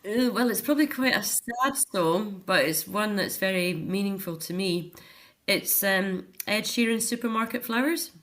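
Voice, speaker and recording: A woman's voice: medium pitch, normal speed, a bit bright. A middle-aged woman with a Scottish accent, chatting earnestly and telling anecdotes as a guest in an informal interview. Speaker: interview guest, author and care home activities volunteer or worker. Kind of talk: informal radio interview chat.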